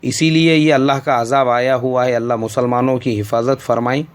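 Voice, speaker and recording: A man with an Indian accent gives a religious answer in the manner of a sermon. He scolds and hammers his points home with repetition, and his voice sits at an ordinary middle pitch. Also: steady tone, normal speed. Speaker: Islamic scholar answering questions.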